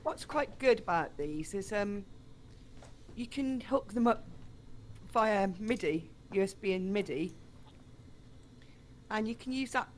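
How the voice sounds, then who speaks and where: A woman's voice: mid-range voice, expressive, slow pace, pauses often. A woman speaking as a guest on a live stream in casual, conversational chat. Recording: clear background noise.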